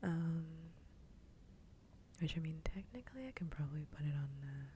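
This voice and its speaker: A woman livestreaming ASMR, chatting casually and playfully in a soft, breathy whisper close to the microphone. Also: sits low, animated, normal speed, a little hiss or hum. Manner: playful, rambling.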